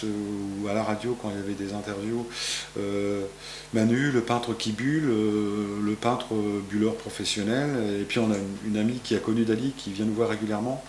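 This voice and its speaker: A man's voice: fairly low pitch, roomy sound, a little hiss or hum. A man in his fifties from France, a painter answering interview questions in his gallery, rambling with many fillers and restarts. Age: 50s. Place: art gallery, indoors.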